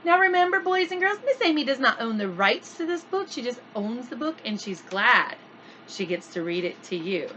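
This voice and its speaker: A woman reading a chapter book aloud to children in an expressive, deliberate storyteller's way. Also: on the high side, muffled recording, a little hiss or hum.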